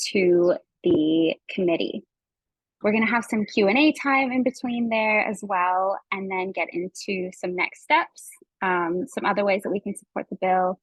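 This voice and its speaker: A woman's voice: mid-range voice, no echo, low-quality recording. A woman with a Canadian accent hosting an online workshop over a video call, talking in a friendly, informal way. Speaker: workshop host and facilitator. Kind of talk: presenting an online workshop to participants.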